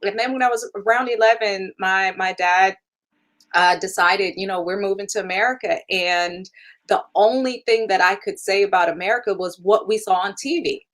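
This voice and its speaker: Interview guest: woman, 30s, from Germany, first language German, candid and easygoing, laughs a lot, everyday mid pitch. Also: normal speed, fairly bright tone. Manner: candid, laughs often, many fillers. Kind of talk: casual interview.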